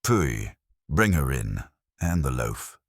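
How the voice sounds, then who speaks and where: A man's voice: rumbling low, sing-song, takes it slowly, slightly rough, dry recording, studio quality, voice pushed down, full character voice. A man voicing a haughty, irritated detective genius who pronounces each thing precisely and pompously. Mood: disdainful.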